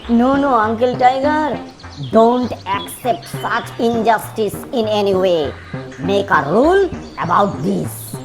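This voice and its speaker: A woman's voice: on the high side, animated, takes it slowly. A woman voicing a sly, cheeky, hungry fox in a children's cartoon, theatrical and scheming. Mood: mock concern. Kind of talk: children's cartoon character dialogue. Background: music behind the voice.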